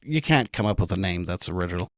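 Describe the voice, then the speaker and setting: Rambling low-pitched man, dry sound. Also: animated, fast, fairly bright tone, no room sound. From Canada. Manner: rambling, self-deprecating, frequent restarts. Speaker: podcast guest, author. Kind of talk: casual interview chat.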